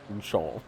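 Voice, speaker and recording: A nasal-sounding man with a cold, giggling often as he commentates a live sim race. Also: noisy background. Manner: giggly, laughs often, playful. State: has a cold.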